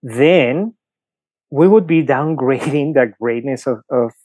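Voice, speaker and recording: A man's voice: medium pitch, slow, darkish tone, no echo. A middle-aged man from Peru with a Peruvian Spanish accent, a priest explaining his views in a podcast interview with many fillers and examples. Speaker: priest guest.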